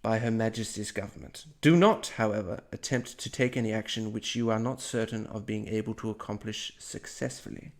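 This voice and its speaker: A man's voice: fairly low pitch, a little fast, few pauses, natural voice. A man putting on a British accent to voice a stiff, formal male colonial official with clipped diction. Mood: formal stern. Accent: British (put on).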